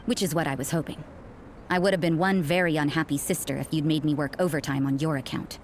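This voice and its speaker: A woman playing a stern, cynical young nun in a video game, guarded and suspicious, with a cool, sardonic, deliberate delivery. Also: low voice, fairly even intonation, a little hiss or hum, lightly acted. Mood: grudgingly satisfied. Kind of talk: English video game character dialogue.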